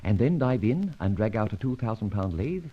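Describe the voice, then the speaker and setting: A dull, lo-fi old radio recording carries a suave British gentleman adventurer. His voice is slightly husky and swings dramatically in pitch. He talks in flippant, jaunty old-fashioned slang, always wry and sure of himself. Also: very animated, moderate pace. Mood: jaunty, scheming. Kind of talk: old-time radio detective drama acting.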